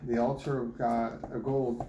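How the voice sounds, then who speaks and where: Even-toned man reading scripture in echoey room. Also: mid-range voice, a little slow. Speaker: Bible reader. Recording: roomy echo with clear background noise.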